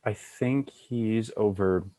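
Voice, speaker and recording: A man's voice: lowish voice, slow pace, dark tone. A man playing a nosy, matter-of-fact young friend at a comedy screenplay read. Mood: curious.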